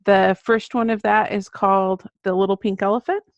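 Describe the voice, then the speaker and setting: Casting: middle-aged female interview guest, conversational author type, low creaky voice, dull lo-fi sound. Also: fairly even intonation, moderate pace, no room sound. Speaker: guest, children's book author. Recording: dull lo-fi audio.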